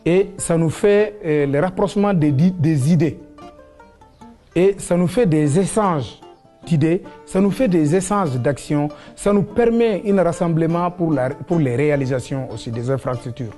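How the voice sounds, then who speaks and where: A man's voice: on the high side, a little slow, dark tone, faint music behind. A man with a West African accent answering in a documentary interview, explaining in a hesitant way and repeating his phrases.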